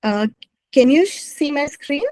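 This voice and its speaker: A woman's voice: sits high, expressive, measured pace, dark tone, no room sound. A middle-aged woman whose first language is Gujarati, giving an academic talk over a video call in a rambling, self-correcting way. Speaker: guest speaker, academic. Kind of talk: online presentation.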